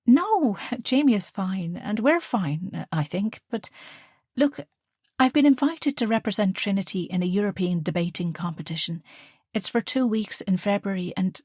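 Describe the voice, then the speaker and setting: A woman's voice: mid-range voice, animated, normal speed, a little mellow, slightly rough, dry recording, clean studio sound. A woman reading the part of a nervous, earnest young student daughter. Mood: hesitant. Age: young adult. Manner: earnest, hesitant.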